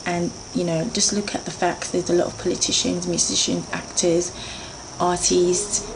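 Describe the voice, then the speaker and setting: Woman in her thirties, gravelly. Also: lowish voice, flat delivery, moderate pace, background noise. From Jamaica. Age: 30s. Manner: chatty and earnest, with frequent fillers. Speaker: beauty vlogger. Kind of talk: casual talk to camera, going through a list.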